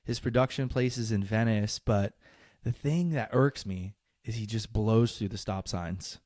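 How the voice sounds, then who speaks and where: A man's voice: on the low side, unhurried, a little mellow, no echo, clean studio sound. A young man co-hosting a podcast, chatting loosely with lots of fillers and laughs. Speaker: podcast co-host. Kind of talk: casual chat.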